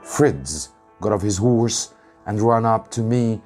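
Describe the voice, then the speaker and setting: An Arabic-speaking man, an English teacher, reads a storybook aloud for students in deliberate short phrases with pauses. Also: fairly low pitch, a little mellow, soft background music. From Egypt. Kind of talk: narrated storybook reading for students.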